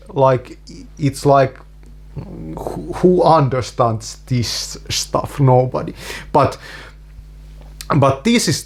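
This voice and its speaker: A man from Finland with a Finnish accent, speaking English as a second language in a deadpan comic monologue, full of fillers and restarts. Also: mid-range voice. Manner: halting, many fillers and restarts. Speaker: YouTuber.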